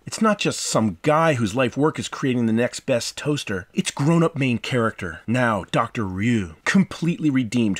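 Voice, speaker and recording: A man's voice: medium pitch, slightly rough. A man hosting a game review, delivering scripted commentary in an impassioned, theatrical way that moves between profanity and sentiment. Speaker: video host, game reviewer.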